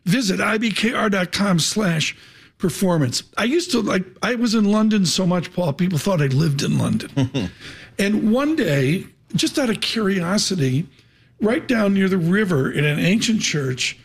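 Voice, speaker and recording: A man hosting a live business show, chatting in a rambling, animated way. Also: sits high, unhurried, slightly rough. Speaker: host.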